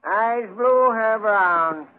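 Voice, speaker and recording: A man playing a brisk, clipped police questioner taking down details in a 1940s radio drama. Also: high voice. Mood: brisk, impatient. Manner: clipped, official, list-reading. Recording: narrow, phone-like vintage 1940s radio recording.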